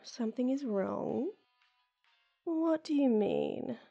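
A woman performing a scripted audio roleplay in a whispery, breathy, teasing way. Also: high-pitched, animated, slow, warm tone, highs cut off, faint music behind.

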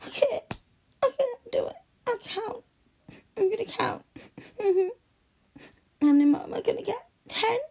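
A young adult woman performing a scripted audio roleplay, tearful and pleading, often sinking into soft whispers. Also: extremely high pitch, lively intonation, moderate pace, vocal fry, long pauses.

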